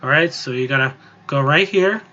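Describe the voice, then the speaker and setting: A man giving a casual, improvised software tutorial, explaining step by step in an informal, rambling way. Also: mid-range voice, moderate pace, muffled recording. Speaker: music software tutorial presenter. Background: voice-over on a screen recording.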